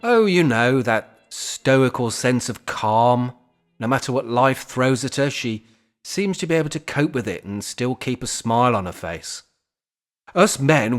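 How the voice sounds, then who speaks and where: A man acting in an amateur radio play as a sentimental older widower, speaking in an earnest, halting, reflective way. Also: medium pitch, close to an everyday voice. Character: sentimental, guilt-ridden older widower in a care home.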